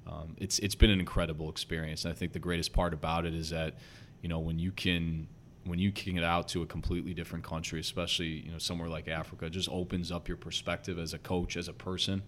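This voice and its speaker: A young man with a slight Chicago accent, a basketball head coach talking earnestly and quickly in a casual podcast interview, with lots of fillers. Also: low voice, a bit bright, slight background noise. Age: young adult. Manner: earnest, talks fast with many fillers.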